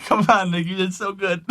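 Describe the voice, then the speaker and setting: A man's voice: sits high, measured pace, bright, forceful tone. An American man co-hosting a podcast in casual banter. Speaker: podcast co-host.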